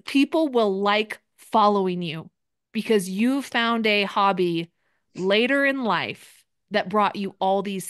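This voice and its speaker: A woman's voice: mid-range voice, fairly bright tone, no echo, studio quality. A woman coaching people in a live group session, speaking in a direct and encouraging way. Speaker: business coach.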